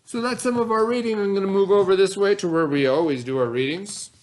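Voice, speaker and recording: A man with a fairly high voice reads a lesson aloud for children. He speaks as a teacher, instructional in manner, moving between the text and his own explanations and questions. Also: a little hiss or hum, rough recording. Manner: instructional, pausing to pose and answer questions.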